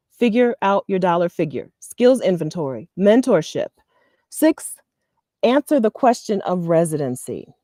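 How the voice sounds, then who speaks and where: A woman's voice: on the low side, no echo, clean studio sound. Chatty American East Coast woman vlogging. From Delaware, US East Coast. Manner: chatty and animated, laughs often, checks in with "okay?". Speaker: vlogger and expat community host. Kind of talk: casual talk to camera, advice. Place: outdoors in front of a leafy green wall.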